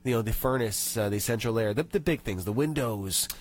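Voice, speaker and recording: A man's voice: mid-range voice, a little fast. Man, radio host, nasal from a cold, casual chat, studio. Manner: chatty, ranting, reads tips aloud.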